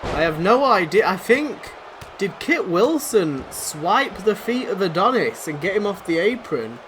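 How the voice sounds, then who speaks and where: A man doing excitable, rambling play-by-play commentary over a wrestling video game, his voice going hoarse. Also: sits high, unhurried. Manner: excitable, rambling, self-deprecating. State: losing his voice. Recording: noisy background.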